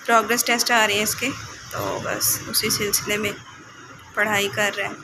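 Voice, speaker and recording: A woman's voice: medium pitch, normal speed. A woman vlogging at home, casually explaining her chores and cooking to viewers. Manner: relaxed, explanatory, addresses viewers directly. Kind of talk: casual chat narrating daily chores and cooking. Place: home kitchen, indoors. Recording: noisy home recording.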